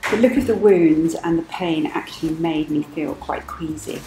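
Worried lady in her 40s filming herself on her phone in the hospital, lowish voice, kinda roomy. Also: normal speed. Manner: candid, anxious, talks to camera in a hushed voice. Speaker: sailing vlogger. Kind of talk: casual vlog to camera. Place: hospital rooms and corridors, indoors. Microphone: phone camera at arm's length. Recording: roomy sound with loud music behind.

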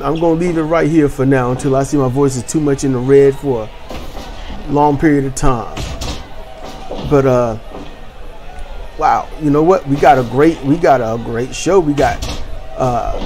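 Host voice: energetic rambling man, fairly high voice, game music behind. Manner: energetic, chatty, rambling with restarts. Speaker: host and commentator. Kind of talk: video game wrestling commentary.

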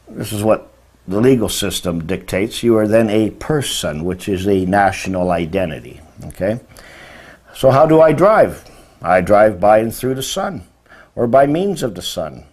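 A man's voice: medium pitch, a little mellow. A man in his sixties teaching religion in an informal, emphatic lecture, often posing rhetorical questions. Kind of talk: informal lecture explaining word meanings. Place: indoor room beside a presentation screen.

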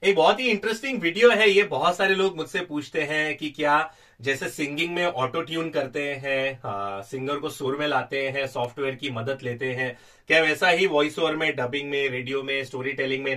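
A man's voice: on the high side, normal speed, sharp tone, distant mic. A middle-aged man with an Indian accent explains things to camera like a trainer, emphatic and often checking in, in a small studio. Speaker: voice modulation trainer, host. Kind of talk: explanatory tutorial talk to camera. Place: small padded recording studio, indoors.

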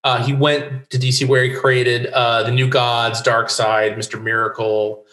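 Middle-aged American male interviewee, informative and discursive, mid-range pitch, roomy home recording. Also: little pitch movement, measured pace, a bit bright. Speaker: interview guest, playwright. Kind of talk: casual explanatory interview talk. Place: home room lined with bookshelves. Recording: roomy home video call.

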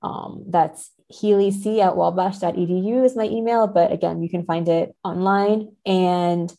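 A woman's voice: lowish voice, fairly even intonation, normal speed, dark tone. A woman speaking as an academic guest in a casual podcast interview.

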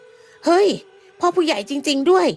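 A woman voicing a rough, cheeky male villager who curses and teases in a fawning way. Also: sits high, moderate pace, voice pushed up. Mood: teasing fawning.

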